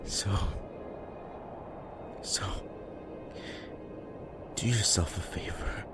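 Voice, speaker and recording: A man playing a guilt-ridden, self-loathing young survivor in an emotional audio drama, with a halting, bitter delivery. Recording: prominent music behind the voice.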